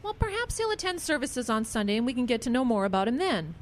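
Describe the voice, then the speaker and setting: A woman's voice: a little high, big pitch swings, quick pace. A woman playing a kindly, practical small-town pastor in a radio drama. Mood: friendly.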